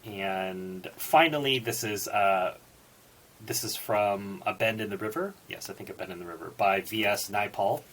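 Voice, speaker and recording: Slightly husky man reviewing books. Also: lowish voice, normal speed, fairly bright tone. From born in Singapore, United States citizen. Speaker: book reviewer. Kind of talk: book review talk with read-out quotations.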